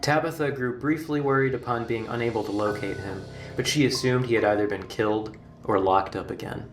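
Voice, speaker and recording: A man narrating a scripted horror documentary in a measured, formal storytelling manner. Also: mid-range voice. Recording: roomy, distant playback with music. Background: background music.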